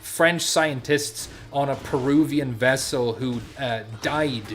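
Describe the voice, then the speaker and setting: A man's voice: mid-range voice, slow pace, fairly bright tone, faint music behind. A young man from Sweden telling a rambling story on his stream, full of fillers and restarts.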